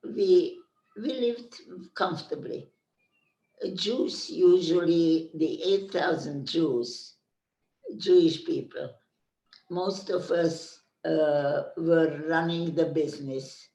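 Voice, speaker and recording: An older woman from Carpathian Ruthenia, remembering her hometown in an oral history interview, pausing often to search for words. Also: sits low, warm tone, far from the mic. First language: Hungarian. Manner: slow, searching for words with many pauses. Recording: echoey room, speaker off mic.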